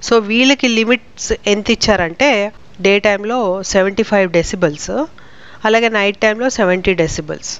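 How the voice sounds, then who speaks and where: A woman's voice: mid-range voice, brisk, a bit bright, smooth, highs cut off. A woman with a Telugu accent giving an informal explanatory lesson as a law teacher, explaining terms step by step with frequent tag questions. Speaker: law teacher presenting short legal explainers.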